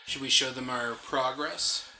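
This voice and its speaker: Very bright, sharp-voiced man chatting casually. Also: mid-range voice, steady tone, a little slow. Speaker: co-host of a gaming let's play show. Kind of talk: casual chat, game commentary. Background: faint game music.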